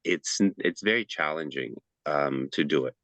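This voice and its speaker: A man's voice: rumbling low, expressive, moderate pace, fairly bright tone, no room sound, muffled recording. A man giving a casual presentation over a video call, chatty and loose, with frequent fillers and restarts.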